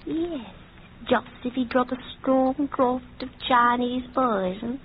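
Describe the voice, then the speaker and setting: Sly nurse on tinny old radio. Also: female voice, high voice, unhurried, slight background noise. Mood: accusing. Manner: insistent, theatrical. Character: sly, scheming female household nurse, falsely solicitous. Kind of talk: old-time radio drama acting.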